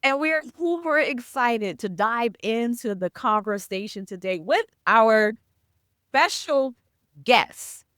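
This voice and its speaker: An upbeat woman hosting a podcast and working as a coach. She speaks slowly and deliberately, often turning to rhetorical questions for her listeners. The sound is dry and close. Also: a little high, expressive, a bit bright, dry recording. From Mexico.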